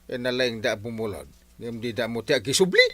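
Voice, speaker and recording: A man acting a blustering village captain with an Ilocano accent in a comedy radio drama, exclaiming and halting. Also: mid-range voice, animated, sharp tone, no echo. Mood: exasperated. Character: blustering village captain with easy comic exasperation.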